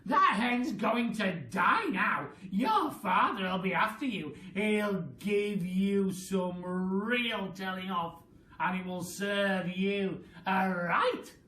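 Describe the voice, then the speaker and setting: A head teacher voicing a bossy, cackling old grandmother who scolds and mocks loudly. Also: mid-range voice, big pitch swings, unhurried, voice pushed up. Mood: scolding. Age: older. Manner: loud, scolding, mocking. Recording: roomy sound from a distant mic.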